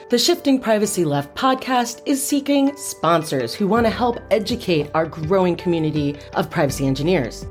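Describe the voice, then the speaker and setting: A woman, the podcast host, reading a scripted sponsorship announcement in an upbeat promotional manner. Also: fairly low pitch.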